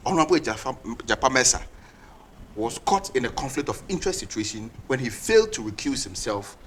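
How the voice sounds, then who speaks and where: A man's voice: mid-range voice, sing-song, brisk, noisy background. A man with a Ghanaian English accent, a guest on a TV talk show, arguing and summing up his case. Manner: argumentative, summing up his points. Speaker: studio guest, political commentator. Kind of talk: political debate on a TV talk show. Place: TV studio.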